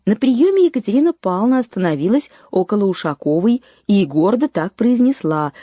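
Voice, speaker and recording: A woman reading a scripted documentary narration in a measured way, lifting her voice on key phrases. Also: mid-range voice, animated, dark tone, dry recording, studio quality.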